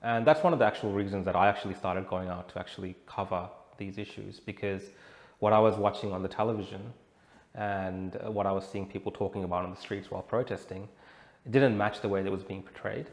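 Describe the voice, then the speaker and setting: Low, creaky-voiced Australian man. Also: fairly even intonation, quick pace. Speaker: interview guest, protest reporter. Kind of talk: conversational interview answers. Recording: roomy echo, clear background noise.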